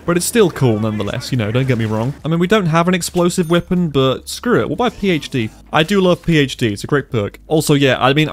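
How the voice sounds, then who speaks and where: A young man giving casual commentary over his gameplay, his voice a little congested from a cold and quick to react aloud to the game. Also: a little high. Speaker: gaming YouTuber.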